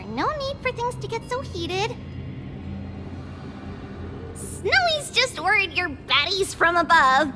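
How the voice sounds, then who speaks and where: A woman's voice: very high voice, exaggerated voice. A woman voicing a small, childlike, bubbly fairy-like companion in a video game, chirpy and exclamatory, bossy yet friendly. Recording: music behind the voice. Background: game music.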